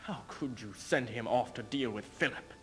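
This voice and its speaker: A man's voice: medium pitch, normal speed, soft background music, close to an everyday voice. A young man playing a proud, sardonic aging king in a stage reading, mocking and declamatory. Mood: bitter, aggrieved. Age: young adult. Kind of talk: theatrical duo interpretation, stage reading. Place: indoor stage in front of curtain.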